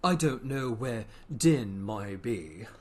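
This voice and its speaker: Someone voicing a slow, swooping, melancholy storybook turtle. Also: mid-range voice, expressive, darkish tone. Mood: solemn, earnest. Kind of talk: theatrical storybook reading with slow sung verse.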